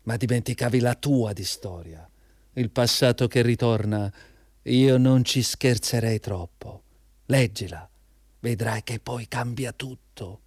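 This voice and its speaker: An actor playing a defiant, needling unemployed communist jobseeker in a radio drama, insistent and goading. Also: male voice, medium pitch, unhurried, darkish tone, husky, no room sound, studio quality. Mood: goading, menacing. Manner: insistent, goading, showing off knowledge.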